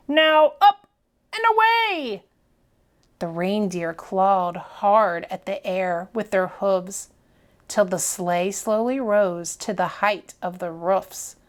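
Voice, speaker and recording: Female storytime reader, middle-pitched, slow and deliberate, dramatic children's picture-book delivery. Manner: deliberate, dramatic phrasing with hushed moments. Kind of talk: expressive children's picture-book read-aloud.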